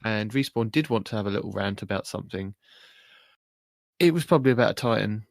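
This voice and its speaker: A man's voice: fairly low pitch, brisk, vocal fry, no echo, clean studio sound. A man co-hosting a gaming podcast in casual, conversational chat. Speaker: podcast co-host.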